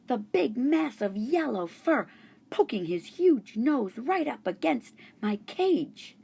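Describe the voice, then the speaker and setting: A woman reading a children's chapter book aloud, narrating in first person with an expressive storytelling voice. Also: mid-range voice, vocal fry, slightly rough. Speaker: storybook reader.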